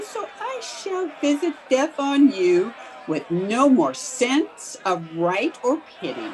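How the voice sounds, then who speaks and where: Casting: doomed, vengeful woman for radio horror, archaic and declamatory, big swings in pitch, some background noise. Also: low-quality recording. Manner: wailing, declamatory, archaic speech. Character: condemned woman swearing vengeful return from death. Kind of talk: old-time radio horror acting. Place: at home, remote session. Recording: home remote recording with clear background noise.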